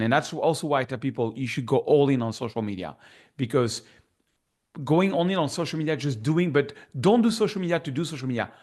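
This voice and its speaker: A middle-aged man with a French accent, a guest in a casual interview, talking in an enthusiastic, rambling way full of fillers and restarts. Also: mid-range voice. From France. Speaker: guest photographer.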